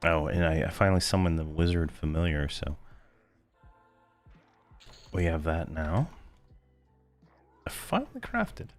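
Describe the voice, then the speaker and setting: A man commentating his own gameplay in a rambling, laid-back way, full of fillers, his voice hoarse from losing it. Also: low voice, sing-song, a little slow, long pauses, soft background music. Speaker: game video host.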